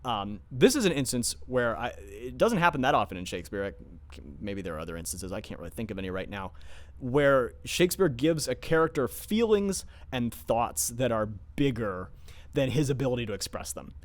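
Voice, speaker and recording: A man's voice: mid-range voice, big pitch swings, moderate pace. A man speaking as a theatre director in an informal, scholarly talk, enthusiastic but often searching for words as he explains. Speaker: theatre director discussing his production.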